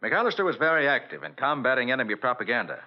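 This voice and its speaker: An actor playing a confident, quick-witted private detective with an assured, wry manner in an old radio drama. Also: male voice, medium pitch, animated, natural voice. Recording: dull old radio recording.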